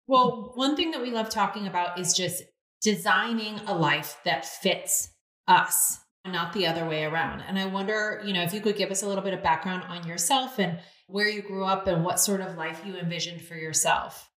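A woman's voice: medium pitch, normal speed, fairly bright tone. Echoey woman podcast host. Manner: affirming, conversational. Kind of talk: casual interview conversation. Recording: roomy echo.

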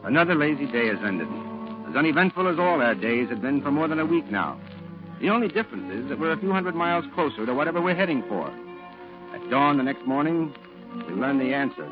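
A man's voice: on the high side, lively intonation, brisk, over music, lightly acted. A male actor as a literate war correspondent telling the story. The narration is sober and reflective, in 1940s radio style. The recording is dull with age. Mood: reflective, suspenseful. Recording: muffled old radio broadcast recording.

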